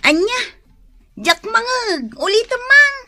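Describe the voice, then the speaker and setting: High, bright good-humoured Ilocano younger sister. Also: female voice, very animated, slow pace, soft background music, exaggerated voice. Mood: puzzled. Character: good-humoured younger sister working abroad, calling home. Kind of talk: comedic radio drama acting.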